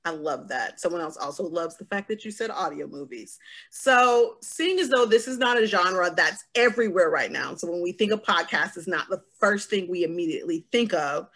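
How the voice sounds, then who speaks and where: A woman's voice: on the low side, animated, moderate pace, fairly bright tone. An American woman in her forties moderating a video-call panel in a casual, conversational way. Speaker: panel host. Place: home room, indoors, video call.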